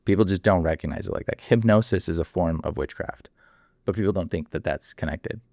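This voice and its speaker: A man with a very low voice speaks as a guest in a casual interview. He talks in an animated, emphatic way, piling up fillers. The recording is dry and close. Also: brisk, creaky, no echo.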